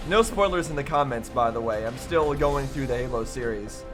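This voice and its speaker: A man giving casual reaction commentary in a halting, musing way. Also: medium pitch, music in the background. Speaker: reaction video host.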